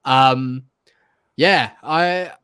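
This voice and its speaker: A man's voice: mid-range voice, drawn-out words, pushed and bright, smooth. A man co-hosting a podcast, talking in a casual, informal way like a chatty fan. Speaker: podcast co-host. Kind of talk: casual chat.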